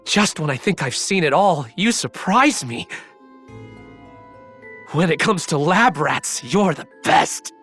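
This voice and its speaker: Game villainess: cynical experimenter, wry and sly, lively swinging delivery over music. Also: female voice, deep voice, takes it slowly, long pauses, full character voice. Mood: amused. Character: cynical female mad scientist, sly and weary. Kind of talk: anime video game voice acting. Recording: music behind the voice.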